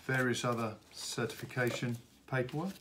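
A middle-aged man giving an unscripted product unboxing walkthrough, speaking haltingly with many hesitations. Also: medium pitch. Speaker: product presenter for a radio retailer. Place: indoors at a wooden table in an office. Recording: steady background room noise.

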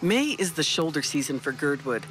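A woman's voice: deep voice, lively intonation, a little fast, loud music behind the voice. An American woman reporter reading scripted narration for a TV feature story. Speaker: TV news reporter.

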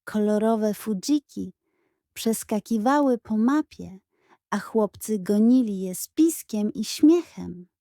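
A woman storyteller reading a scripted children's bedtime story, articulate and expressive. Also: mid-range voice, takes it slowly, dry recording, clean studio sound.

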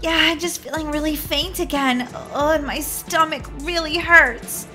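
Woman acting a whiny, high-pitched sick young wife. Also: bright, forceful tone, faint music behind. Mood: weak, faint.

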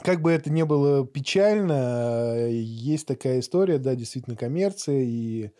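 A man's voice: medium pitch, expressive, moderate pace, mellow, no room sound. A middle-aged man talking conversationally as a podcast guest, explaining things through anecdotes, recorded close on a studio microphone. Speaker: podcast guest, barber and teacher. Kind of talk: conversational interview talk. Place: small acoustically treated podcast studio. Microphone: boom-mounted studio microphone up close.

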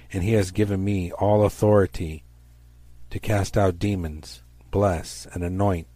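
A man's voice: low voice, warm tone, no room sound. A middle-aged man preaching a stern, accusatory fire-and-brimstone sermon in an emphatic, declamatory way.

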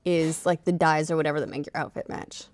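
A woman's voice: low voice, little pitch movement, fast talker, a little mellow, no room sound. A woman in her thirties co-hosting a gaming podcast, chatting informally into a studio microphone. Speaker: podcast host. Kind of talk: casual gaming chat. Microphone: close studio microphone on desk.

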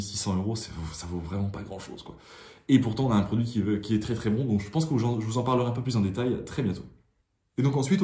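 A man's voice: lowish voice, mellow. A young man in his twenties giving a casual, hesitant tour of his small home gym, talking to a phone camera held at arm's length. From France. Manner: chatty, hesitant, many restarts and fillers. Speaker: triathlon vlogger. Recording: echoey small room.

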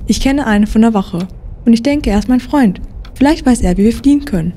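A woman's voice: on the high side, lively intonation, fairly quick, dark tone, slight background noise, natural voice. A woman playing a determined, trusting young woman in an audio drama. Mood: reassuring. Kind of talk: amateur radio play acting.

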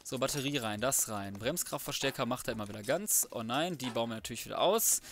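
A man's voice: medium pitch, expressive, fairly quick, fairly bright tone, a little hiss or hum. A man giving casual, colloquial let's play commentary, muttering to himself as he goes. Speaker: gaming video host.

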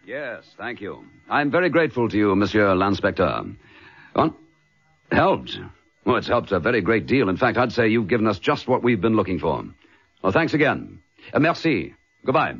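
An actor playing a brisk, courteous British chief inspector in a radio drama, with a husky voice. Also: male voice, on the low side, sing-song, fairly bright tone, full character voice. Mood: brisk, businesslike. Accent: British English. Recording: dull lo-fi radio drama recording.

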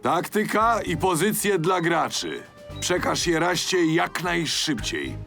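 A man voicing an earnest football coach in a dubbed cartoon, creaky and urgent, giving emphatic instructions. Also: high-pitched, big pitch swings, slow pace, fairly bright tone, faint music behind, exaggerated voice. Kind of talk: cartoon dub acting.

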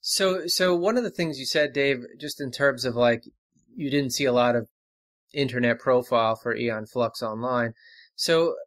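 Man, podcast guest, medium pitch, halting casual talk, dry close recording. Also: fairly bright tone, no echo. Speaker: panel guest. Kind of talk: casual panel discussion.

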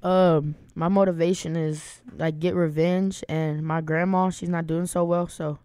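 A man's voice: a little high, little pitch movement, a little slow, darkish tone, clear, resonant tone, dry recording. A teenage boy football player answering pregame questions hesitantly, often starting with "um". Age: teens. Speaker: high school football player, guest. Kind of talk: casual pregame interview.

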